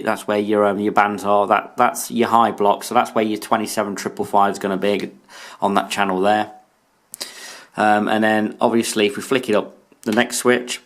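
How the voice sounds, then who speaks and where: Lower-pitched British man, halting and casual. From United Kingdom. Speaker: radio hobbyist presenting a video. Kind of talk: casual product showcase narration. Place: indoors in a room.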